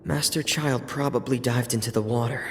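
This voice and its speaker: A woman's voice: a bass voice, fairly even intonation, normal speed, noisy background. An actress playing a resolute young woman adventurer in a video game, anxious but determined. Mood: focused.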